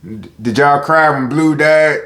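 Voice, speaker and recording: Rambling man with a deep voice. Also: a little slow, a bit bright, roomy sound. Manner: rambling, self-interrupting, talks to viewers. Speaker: vlogger. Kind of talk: casual talk to camera.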